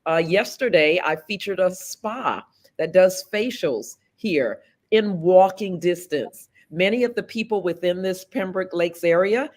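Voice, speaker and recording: A woman in her fifties from South Florida, running for office and answering an interviewer. She speaks earnestly and deliberately, with measured pauses between her thoughts. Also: fairly low pitch. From South Florida, USA. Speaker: political candidate.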